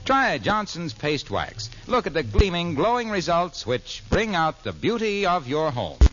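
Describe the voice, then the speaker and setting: A man's voice: fairly high pitch, wildly swooping pitch, unhurried, lo-fi sound, loud music behind the voice. A man reading a scripted commercial as a polished, salesmanlike announcer in 1940s radio style. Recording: band-limited vintage radio recording.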